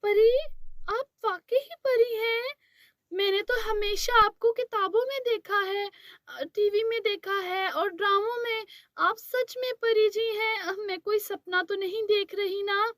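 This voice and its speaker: A woman's voice: extremely high pitch, fairly even intonation, slow pace, a bit bright, no room sound, clean studio sound, pitched above the performer's own voice, exaggerated voice. A woman voicing a kind, tearful young girl in a cartoon story, pleading between sobs. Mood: amazed. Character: sobbing kind dark-skinned young girl.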